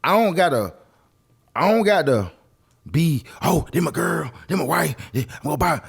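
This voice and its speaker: A man's voice: fairly high pitch, expressive, normal speed, vocal fry, slightly rough. A man talking casually and with strong opinions on a podcast, emphatic and repeating phrases for effect. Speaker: podcast co-host.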